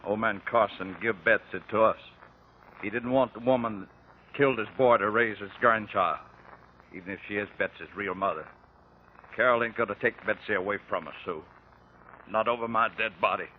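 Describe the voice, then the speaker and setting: A low-voiced young farmer, acted by a man, folksy, firm and protective, with a rural American accent. The radio drama recording is thin and narrow, with a little noise. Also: normal speed, natural voice. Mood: resolute. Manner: folksy, firm country talk. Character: stubborn, protective young farmer husband, plain and tough. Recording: thin, faintly noisy 1950 radio recording.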